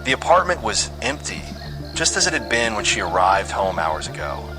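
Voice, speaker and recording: A man's voice: on the low side, very animated, normal speed, rough recording. A man reading a scripted horror story with dramatic, suspenseful delivery. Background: music under the voice.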